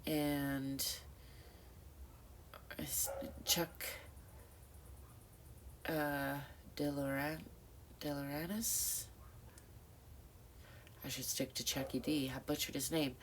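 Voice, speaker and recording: A woman's voice: low-pitched, fairly even intonation, drawn-out words, long pauses, slight background noise. A middle-aged woman with a stuffed-up nose, talking reflectively to camera in a rambling, thoughtful way, with many fillers and self-corrections. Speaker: vlogger. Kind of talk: reflective casual monologue.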